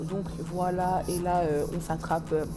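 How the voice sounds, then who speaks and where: A woman's voice: lowish voice, level tone, measured pace, music in the background. Chatty young French woman vlogging. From France. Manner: chatty, giggly, rambling with fillers. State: has a cold. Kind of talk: casual vlog talk.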